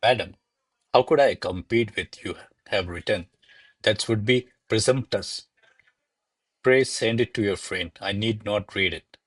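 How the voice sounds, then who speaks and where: Man playing a deferential, low-voiced young gentleman secretary. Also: unhurried, breathy edge, no room sound. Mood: humble, evasive. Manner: formal, deferential, wordy. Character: diffident, deferential male secretary courting his lady. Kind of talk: stage verse drama read as radio play.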